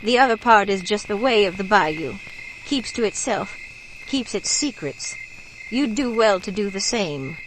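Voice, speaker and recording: A woman's voice: mid-range voice, animated, a little slow, natural voice. A woman playing a somber, secretive bayou neighbor who gives grave, folksy warnings in a horror radio drama. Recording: clear background noise of night insects. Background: crickets and frogs at night.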